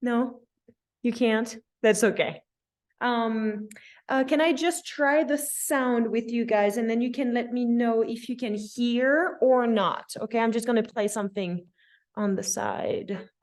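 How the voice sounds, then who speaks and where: A woman's voice: fairly high pitch, unhurried, darkish tone. A woman from France with a French accent, instructing a dance class in an encouraging way and often checking in with her students.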